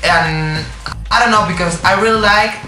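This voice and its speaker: A young Spanish man with a Spanish accent, a singer answering interview questions in English, halting and searching for words with many restarts. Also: high voice, pushed and bright. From Spain. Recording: echoey room with music behind.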